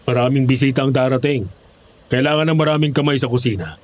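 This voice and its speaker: A man's voice: mid-range voice, quick pace, natural voice. An actor playing a persuasive, glib male job recruiter with coaxing sales talk in a horror audio drama.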